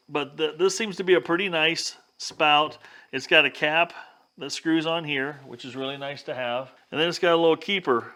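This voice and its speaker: A man's voice: fairly high pitch, bright, forceful tone. A plainspoken, folksy man hosting a casual hands-on DIY video, talking the viewer through each step. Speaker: vlog host giving a DIY demonstration. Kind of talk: casual hands-on how-to talk.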